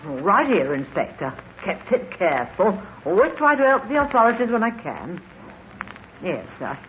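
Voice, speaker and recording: A woman's voice: medium pitch, sing-song, normal speed, slight background noise, close to an everyday voice. An actress playing an eager-to-help landlady who talks in a chatty, deferential way, in a tinny old radio drama recording. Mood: fussy. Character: fussy, eager-to-help older female landlady.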